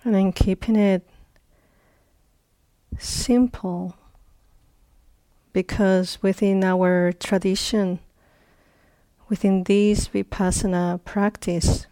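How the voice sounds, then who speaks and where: A woman leads a guided meditation in a soft-edged, mellow voice. She speaks very slowly, leaving long pauses between short phrases. The sound is close and dry. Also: medium pitch, fairly even intonation, smooth, dry recording. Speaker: meditation teacher.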